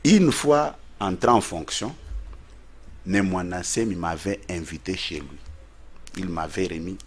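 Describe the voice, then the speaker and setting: Insistent husky man debating. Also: low-pitched, takes it slowly. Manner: insistent, repeats words to hold the floor. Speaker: studio guest. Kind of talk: heated televised debate.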